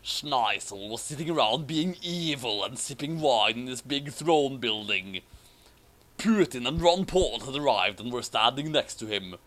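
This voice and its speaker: A man's voice: medium pitch, lively intonation, measured pace, bright, forceful tone. A man hosting a comic commentary, reading a story aloud and chatting casually about it. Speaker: reader and host of a fan fiction commentary.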